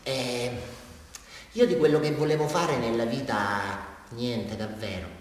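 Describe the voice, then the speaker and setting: Actor as a nervous southern Italian suspect, echoing hall. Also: male voice, medium pitch, fairly even intonation, measured pace, lightly acted. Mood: halting, subdued. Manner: rambling, repetitive, agitated, with sudden swings. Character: nervous, childlike, rambling murder suspect confessing to a policeman. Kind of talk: theatrical radio drama monologue. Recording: distant, very echoey hall, faint noise.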